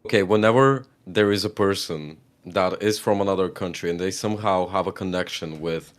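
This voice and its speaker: Very low-voiced man from Ukraine. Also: brisk. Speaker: guest commentator on a live stream. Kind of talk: casual chat and opinion.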